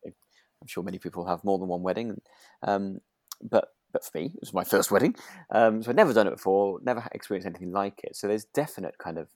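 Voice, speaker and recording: British man, deep voice, podcast co-host, casual chat, rambling halting phrasing, dry recording. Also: darkish tone, no echo. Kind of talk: casual chat about a radio drama.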